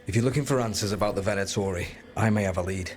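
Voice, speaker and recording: A man's voice: on the low side, racing, close to an everyday voice. A man playing a wary, skeptical rebel informant in a fantasy video game, guarded and matter-of-fact. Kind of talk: dramatic video game acting. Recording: faint music behind.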